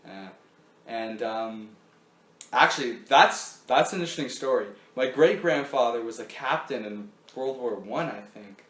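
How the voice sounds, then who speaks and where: A man's voice: medium pitch, lively intonation, measured pace, a bit bright. A young man hosting a casual podcast chat, rambling with many fillers and restarts. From Canada. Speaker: podcast host. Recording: echoey room.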